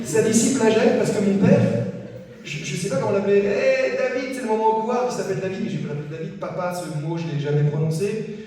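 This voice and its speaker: A man giving a personal testimony at a conference, telling his story in a lively way and acting out the dialogue. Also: a little high. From French-Mexican family. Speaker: conference speaker. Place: large hall at a conference. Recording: echoey hall from far off.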